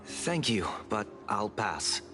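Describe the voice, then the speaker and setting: A man playing a serious, analytical video game character who explains things in a dry, measured way over music. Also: lowish voice, very animated.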